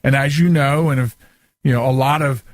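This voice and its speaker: A man's voice: mid-range voice, fairly even intonation, moderate pace, dry recording, clean studio sound. An American man hosting a radio talk show in a casual, chatty, folksy and joking way.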